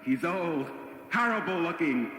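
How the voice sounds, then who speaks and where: A man's voice: fairly high pitch, animated, a little slow, a bit bright, faint music behind. A man playing an ominous narrator who addresses the listener in a campy amateur horror radio drama. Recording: echoey, hissy old radio drama recording.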